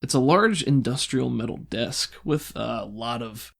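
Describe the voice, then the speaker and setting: A man with a medium-pitched voice runs a tabletop roleplaying game. He sets scenes descriptively and off the cuff, pausing to hunt for the right word. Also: vocal fry. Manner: descriptive, improvised, hesitant searching for words. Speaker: game master.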